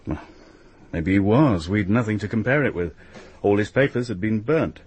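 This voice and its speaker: A man with a British accent playing a pragmatic, world-weary police detective in a radio drama, dry, offhand and husky. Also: lowish voice, expressive, normal speed, a little mellow. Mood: musing.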